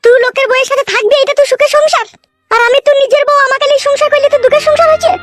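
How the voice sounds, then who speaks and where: A woman's voice: very high-pitched, fairly even intonation, rapid-fire, soft background music. A woman voicing a scorned, vindictive village wife who scolds and threatens in a comic cartoon. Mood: furious, scolding. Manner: scolding, threatening, foul-mouthed.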